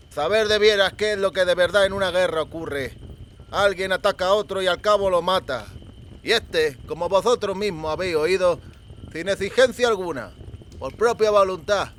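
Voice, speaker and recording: A man acts out the eldest of a rough country gang in an audiobook. The voice is fairly high, with a lisp. He talks slowly and sardonically, in old-fashioned rustic words, with menace underneath. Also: a bit bright, slight background noise. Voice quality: lisping. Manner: slow, archaic rustic speech, sardonic.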